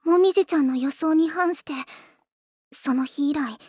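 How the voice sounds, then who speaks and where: Woman as a timid, very high-pitched schoolgirl. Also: moderate pace, a little mellow, dry recording, studio quality, lightly acted. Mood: narrating, wistful. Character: timid, sweet, anxious high school girl. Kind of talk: anime acting and narration.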